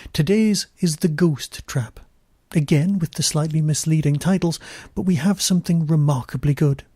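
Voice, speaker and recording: Mellow male podcast host, slightly high-pitched. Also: dry recording, clean studio sound. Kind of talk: conversational podcast review.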